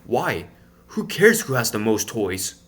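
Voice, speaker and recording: A man's voice: mid-range voice, sing-song, slow pace, a bit bright, exaggerated voice. A man voicing a grumpy small-town mayor in a storybook, scoffing and indignant. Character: grumpy male Wemmick mayor.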